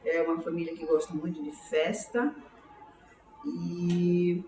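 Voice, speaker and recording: Older São Paulo woman, low voice, roomy recording. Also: little pitch movement, dark tone, soft background music. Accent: São Paulo Brazilian Portuguese. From São Paulo, Brazil. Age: 60s. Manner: halting, reflective, repeats words and seeks agreement. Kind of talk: oral history interview, autobiographical recollection. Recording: roomy, distant mic, faint background noise.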